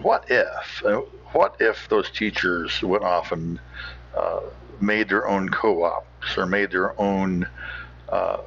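Deep, gravelly man commenting casually. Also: a bit bright, slight background noise. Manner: halting, musing. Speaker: podcast host. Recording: slightly muffled sound.

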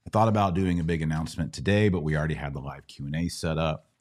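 Very deep-voiced American man teaching conversationally. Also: fairly even intonation, fast, darkish tone. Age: middle-aged. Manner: didactic, prompts with questions and rhetorical checks. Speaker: podcast host and Bible teacher. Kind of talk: conversational live Bible Q&A teaching.

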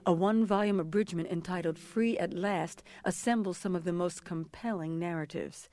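A woman giving scripted historical narration with deliberate, formal phrasing. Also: on the low side, a little mellow, soft background music.

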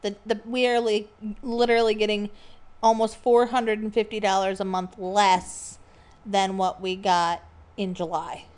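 A woman's voice: mid-range voice, level tone, a little slow, clean tone. Questioning woman podcast co-host. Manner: questioning, explains and corrects. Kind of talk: casual chat.

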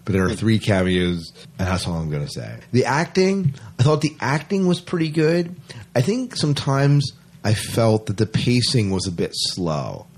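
Male podcast host, low husky register, conversational and emphatic, informal review chat. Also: expressive, moderate pace, darkish tone.

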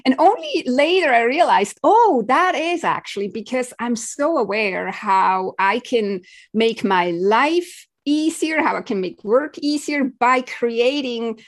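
A woman from Switzerland, a podcast guest telling her story in casual interview talk, halting and restarting, with small laughs. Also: a little high, animated.

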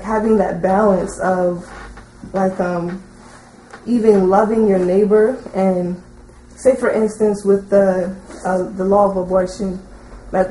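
A woman in the audience at a teaching session, putting a long, unscripted question in a hesitant, halting way full of filler likes. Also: medium pitch, dark tone. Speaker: audience member asking a question. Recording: roomy echo, faint background noise.

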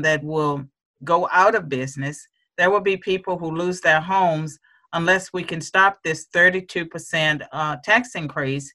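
Brief: interview host, bright and forceful tone, measured and pointed political argument. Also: mid-range voice. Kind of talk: interview discussion.

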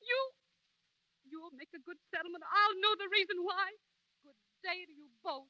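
Scornful, icy jilted woman: high, piercing, clipped, vintage radio drama. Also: normal speed, sharp tone, no room sound, heavily acted. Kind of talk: 1930s radio acting. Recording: dull lo-fi 1930s radio recording.

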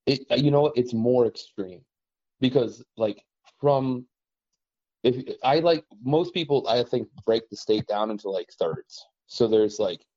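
A man's voice: medium pitch, a little slow, no echo, dull audio. A man from western Massachusetts, chatting casually as a podcast host with lots of fillers. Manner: relaxed, rambling, many "like" and "you know" fillers. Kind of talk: casual conversation about trapping.

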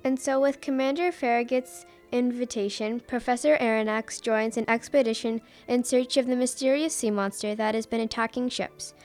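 A storyteller voiced by a young woman narrates a radio sea adventure from a script. The voice is on the higher side, with faint music behind it. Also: fairly even intonation, normal speed, hardly any pauses, a little mellow, lightly acted. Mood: measured narration. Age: teenager.

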